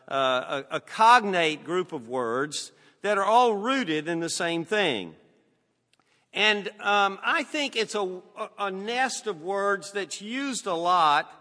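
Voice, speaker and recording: An older man with a Southern American drawl gives a sermon, unhurried and folksy with plenty of fillers. His voice sits a little high and rings bright and forceful. A long reverb from the church hall trails each phrase. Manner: measured, folksy, with frequent fillers. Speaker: guest pastor. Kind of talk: sermon with scripture reading.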